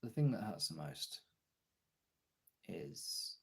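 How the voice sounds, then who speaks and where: A man with a gravelly, husky voice in a casual, personal chat to viewers, halting and candid, swearing often. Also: deep voice, sing-song, a bit bright. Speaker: streamer.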